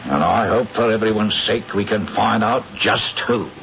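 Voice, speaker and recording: An actor playing a chatty, deferential, down-to-earth British police superintendent in a 1970s radio drama, with a folksy, emphatic manner. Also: male voice, lowish voice, moderate pace, fairly bright tone. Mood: puzzled. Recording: dull, noisy vintage radio recording.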